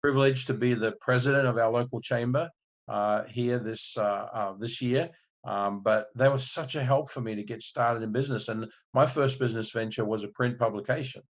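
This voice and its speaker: A man from Australia in his fifties, a marketing agency owner and guest, telling his story in a relaxed, rambling way with many restarts. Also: medium pitch, normal speed. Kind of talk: casual interview storytelling.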